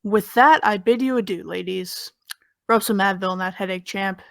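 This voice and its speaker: A woman's voice: medium pitch, moderate pace, creaky, a bit bright, dry recording, clean studio sound. An actress playing a brash, mocking teenage girl in an audio drama, sarcastic, flippant and theatrical. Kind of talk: naturalistic audio drama acting.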